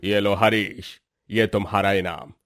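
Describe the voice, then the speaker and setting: A man voicing a dignified, approving village headman in a moral story, speaking in a measured, solemn way. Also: on the low side, fairly even intonation, fairly bright tone, no echo, pitched below the performer's own voice. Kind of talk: acted moral-story dialogue.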